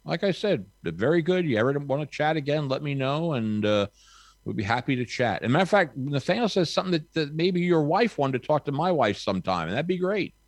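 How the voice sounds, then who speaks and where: A man's voice: on the high side, big pitch swings, a little fast, no echo. A man in his sixties, a podcast guest chatting casually, with a rambling, folksy, upbeat manner. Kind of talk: casual chat.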